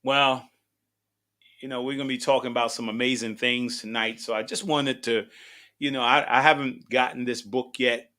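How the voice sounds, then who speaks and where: Upbeat man in his sixties, bright voice. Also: mid-range voice, steady tone, moderate pace. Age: 60s. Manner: upbeat and rambling, many fillers and restarts. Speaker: podcast host. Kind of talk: casual chat.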